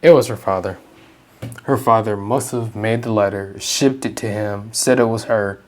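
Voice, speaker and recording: A woman's voice: extremely low pitch, moderate pace, darkish tone. A woman giving casual, blunt and sarcastic reaction commentary over a game. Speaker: gaming video creator.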